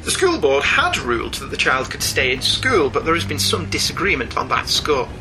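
A man's voice: mid-range voice, sing-song, normal speed, music in the background, poor audio. A man hosting a podcast, reading out a scripted review in a narrator's style. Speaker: podcast host.

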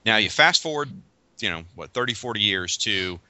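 Bright-voiced male podcast host, dry lo-fi audio. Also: on the low side, expressive, normal speed, dry recording. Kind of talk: casual podcast chat.

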